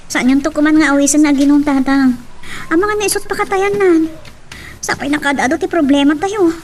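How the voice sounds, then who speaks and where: Dutiful young Filipino daughter played by a woman, high and pleading, audio-drama style, slight noise. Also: brisk, a little mellow, natural voice. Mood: concerned. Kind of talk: Ilocano radio-style drama acting.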